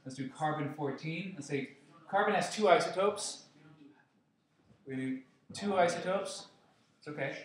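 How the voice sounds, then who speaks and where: A man's voice: high-pitched, sing-song, a little slow, pauses often, far from the mic. A man teaching a chemistry review lesson to his class, playful and joking with students. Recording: echoey classroom with noise.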